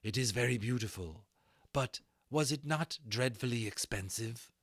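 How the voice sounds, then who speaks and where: A man's voice: mid-range voice, moderate pace, fairly bright tone, no echo, studio quality, close to an everyday voice. A man voicing a young male dragon in an audiobook, affectionate and eager, with earnest, formal old-fashioned phrasing. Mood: grateful, pleased.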